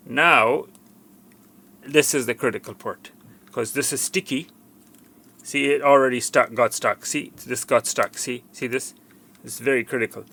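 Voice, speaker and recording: A man's voice: mid-range voice, bright, forceful tone. A man giving an unscripted hands-on tutorial as a repair technician, instructive and cautioning, often repeating himself for emphasis.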